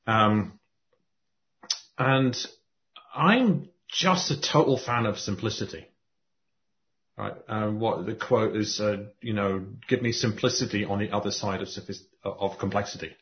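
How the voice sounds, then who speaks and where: A man hosting a podcast conversation, talking in animated spurts and often restarting his sentences. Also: fairly low pitch, unhurried. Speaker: podcast host and coach. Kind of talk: casual conversation. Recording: muffled lo-fi audio.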